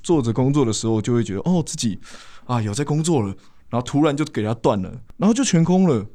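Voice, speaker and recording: A man's voice: mid-range voice, lively intonation, fast, dark tone. A man with a Taiwanese Mandarin accent chatting casually as a guest, hesitant, rambling and self-deprecating. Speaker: stage actor, podcast guest. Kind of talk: casual chat.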